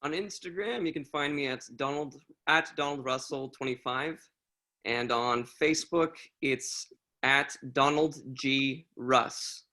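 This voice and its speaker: This is a careful man speaking over a video call, spelling things out slowly. His voice has a bright, forceful edge. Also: mid-range voice. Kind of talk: casual video-call chat.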